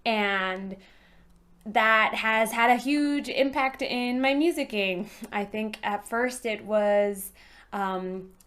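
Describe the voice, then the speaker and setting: A woman's voice: on the high side, slow pace, a bit bright. Thoughtful woman from Peru. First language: Spanish. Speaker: panelist, singer. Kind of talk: personal storytelling on a panel.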